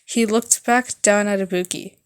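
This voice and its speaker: A woman narrating fan fiction as an amateur reader, halting at times and correcting her stumbles. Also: medium pitch, fairly even intonation, clean tone.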